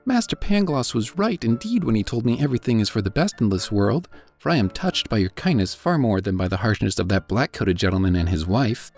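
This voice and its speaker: A man's voice: lowish voice, expressive, brisk, darkish tone, faint music behind, pitched above the performer's own voice. A man voicing a naive, earnest young man who speaks modestly, in a raised voice. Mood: grateful.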